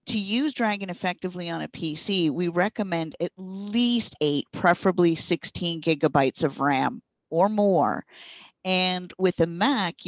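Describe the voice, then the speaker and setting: A woman speaking as a consultant in an interview, explaining things informally with careful, measured wording. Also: fairly low pitch, dry recording. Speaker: speech recognition consultant and trainer being interviewed. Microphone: wireless headset. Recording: dull, band-limited internet call audio.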